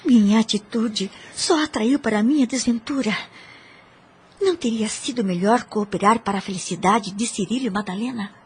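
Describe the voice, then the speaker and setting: A woman's voice: a little high, normal speed, a little mellow, husky. An actress with a Brazilian accent playing a disillusioned, remorseful married woman in an audio drama, sorrowful and introspective.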